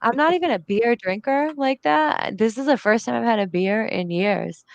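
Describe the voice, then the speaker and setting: A woman's voice: a little high, moderate pace, gravelly, muffled recording, a crowd in the background. A woman with a Massachusetts accent chatting casually on a late-night stream, tired and swearing freely. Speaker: guest. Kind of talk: casual chat.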